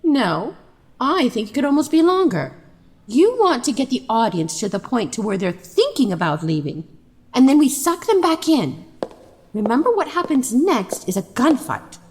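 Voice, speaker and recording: An encouraging, focused stage director, played by a woman in an audio drama. The voice sits fairly high and rises and falls in lively sweeps. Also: normal speed. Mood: encouraging, brisk.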